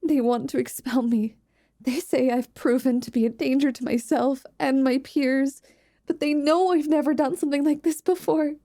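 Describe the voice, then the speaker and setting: A woman's voice: high-pitched, steady tone, normal speed, dark tone. A woman playing an anxious, deferential young magic student, earnest and hesitant, in a fantasy audio roleplay. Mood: distressed, guilty.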